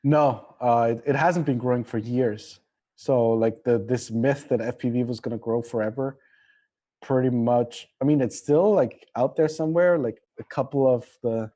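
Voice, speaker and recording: A man with a slightly American accent speaks in a mellow, relaxed voice at an average pitch. He is an interview guest on a livestream, rambling informally and speaking bluntly in casual language. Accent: somewhat American. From Singapore. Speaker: interview guest, drone company representative.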